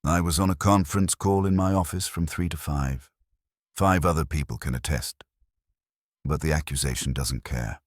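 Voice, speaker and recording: A man's voice: a bass voice, moderate pace, no echo, studio quality. A man voicing a composed, defiant businesswoman client, precise and firm, in a mystery audiobook. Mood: tense, factual.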